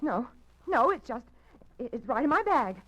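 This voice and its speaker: A woman's voice: on the high side, big pitch swings, moderate pace, fairly bright tone. A frightened, stammering young woman. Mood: fearful. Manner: anxious and breathless, stammers. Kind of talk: 1940s radio acting. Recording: dull lo-fi 1940s radio broadcast.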